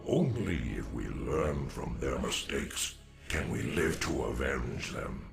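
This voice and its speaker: An actor playing a wise, solemn alien warrior elder in a video game, speaking in grave, formal oratory with a deep gravelly voice. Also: male voice, animated, takes it slowly, soft background music, exaggerated voice.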